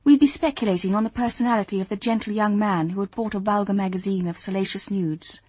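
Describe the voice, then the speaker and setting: An actress playing an earnest, observant young detective, in radio drama dialogue and first-person narration. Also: female voice, medium pitch, fast talker, a little mellow, breathy edge, no echo, natural voice. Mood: reflective, lonely. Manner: earnest, thoughtful, literate narration.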